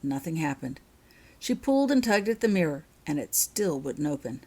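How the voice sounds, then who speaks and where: Woman reading her own story out loud, audiobook style, slightly low voice. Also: sing-song, moderate pace, slightly rough. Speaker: author reading own fiction.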